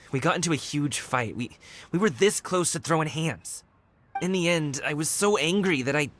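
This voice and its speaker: A man's voice: mid-range voice, a little hiss or hum, close to an everyday voice. An actor playing an easygoing young man, a wushu dancer working as a guard, friendly and candid in a video game. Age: young adult. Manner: friendly, laid-back and candid. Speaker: game character.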